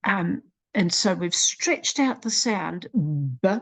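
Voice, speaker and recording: A woman with a New Zealand accent presenting a literacy program in a slow, deliberate walkthrough, sounding out letters one at a time. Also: on the low side, animated, creaky, breathy edge. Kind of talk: screen-recorded software walkthrough.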